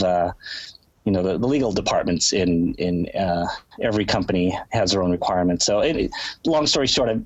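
A man's voice: deep voice, breathy edge, no echo, rough recording. A man with a nasal, sniffly voice from a cold, explaining his filmmaking in a rambling, conversational way as an interview guest. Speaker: guest, writer-director.

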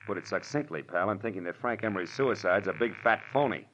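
An American actor playing a cocky, wisecracking private detective in 1940s radio noir, with wry, slangy, clipped delivery. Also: male voice, fairly low pitch, lively intonation, fast, fairly bright tone. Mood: confident, wry. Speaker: lead actor and narrator. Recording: dull, noisy old radio recording.

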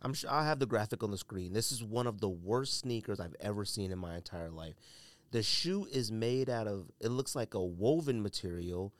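Guy doing a podcast, lowish voice, blunt and opinionated, bit sick, really dry sound. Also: expressive, normal speed, dry recording. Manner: blunt, emphatic, repeats himself for emphasis. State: under the weather, coughing and sneezing. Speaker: podcast host. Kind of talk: casual opinionated sneaker commentary.

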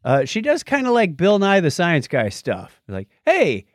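Animated man, casual podcast host. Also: sits high, measured pace, no echo, clean studio sound.

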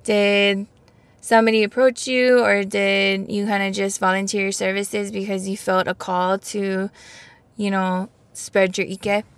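Brief: woman interviewer, mid pitch, dry close recording, short prompts. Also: flat delivery, a little slow, smooth, no room sound. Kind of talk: friendly interview questions.

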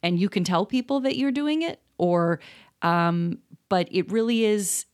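A woman co-hosting a parenting podcast, talking in a thoughtful, rambling way with many pauses and ums. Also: medium pitch, a little mellow. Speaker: podcast co-host. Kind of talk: casual chat.

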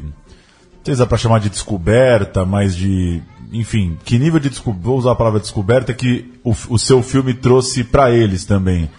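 A man's voice: fairly low pitch, dark tone, breathy edge. A Brazilian man hosting a podcast, asking casual interview questions that he rephrases tentatively as he goes.

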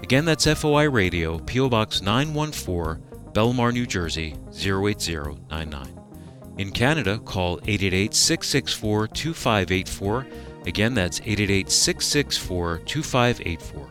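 A man's voice: lowish voice, animated, moderate pace. Male radio announcer over prominent music.

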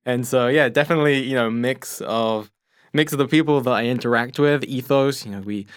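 A man's voice: mid-range voice, a little fast, clear, resonant tone, no room sound. A young man from England with an English accent, a church ministry assistant, explaining things earnestly as a guest in a studio conversation. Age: young adult. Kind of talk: casual conversation. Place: radio studio.

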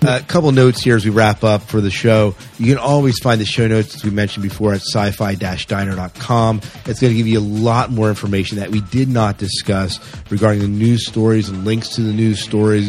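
A man's voice: fairly low pitch, steady tone, fairly quick, a little mellow. A man hosting a podcast, chatting casually and informally. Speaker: podcast host. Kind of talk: casual podcast outro chat.

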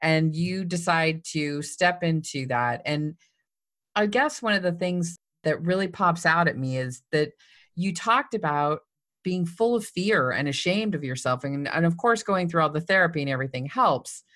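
Woman, podcast host, lowish voice, encouraging, conversational. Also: animated, normal speed, fairly bright tone. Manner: encouraging, reflects the guest's story back to her. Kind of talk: conversational interview.

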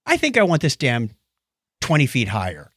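A man's voice: mid-range voice, wildly swooping pitch, moderate pace, fairly bright tone, breathy edge, dry recording, clean studio sound. A man hosting a podcast, casually explaining and telling stories in a conversational way. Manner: explanatory, storytelling. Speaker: podcast host. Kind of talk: casual chat.

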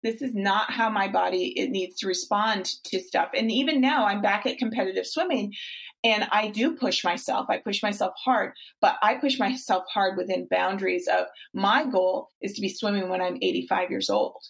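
A woman's voice: medium pitch, steady tone, moderate pace, gravelly, rough recording. A woman in her forties hosting a podcast as a fitness coach, talking in a casual, encouraging way with frequent restarts. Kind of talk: casual interview chat.

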